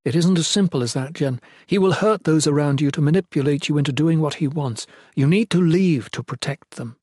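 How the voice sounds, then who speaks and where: A man's voice: a little high, fairly quick, a little mellow, husky, no room sound, clean studio sound, pitched above the performer's own voice. A man voicing a commanding young queen in a fantasy audiobook, imperious and pleading, desperate and sorrowful. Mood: concerned.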